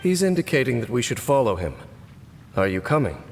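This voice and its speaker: An actor playing a solemn, weary, centuries-old cursed warrior in a video game, speaking in a grave, measured, formal way. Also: male voice, medium pitch, sing-song, background noise. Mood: composed, wary.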